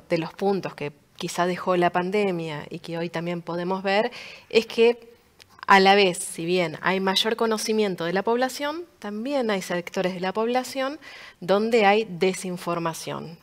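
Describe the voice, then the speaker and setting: A woman moderating a panel in a conversational way. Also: on the low side.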